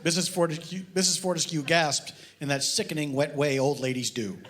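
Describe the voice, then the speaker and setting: A man giving a deadpan, pompous, mock-serious story reading at a live comedy show. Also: on the high side, a little fast, noisy background.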